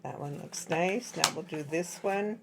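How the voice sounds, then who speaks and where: A woman with a low, husky voice talks through a craft project step by step. Her voice is slightly hoarse from dry air, and her tone stays relaxed and chatty as she works with her hands. A faint hiss and the odd click sit under the recording. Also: measured pace. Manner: chatty, explains while working with her hands. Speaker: craft tutorial host.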